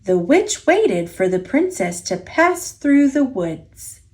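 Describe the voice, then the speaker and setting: A woman reading a children's picture book aloud as a storyteller, expressive and deliberate, drawing out key words. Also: sits high. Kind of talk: children's story read-aloud, scripted narration.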